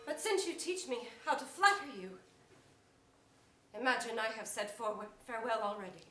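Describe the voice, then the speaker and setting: A woman as a high-voiced, proud noblewoman. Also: big pitch swings, normal speed, bright, forceful tone, soft background music. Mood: cool, yielding. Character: proud, reluctant young noblewoman in mourning. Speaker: stage actor. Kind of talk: theatrical Shakespearean staged reading. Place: indoor theatre stage. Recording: echoey, noisy theatre, distant mic.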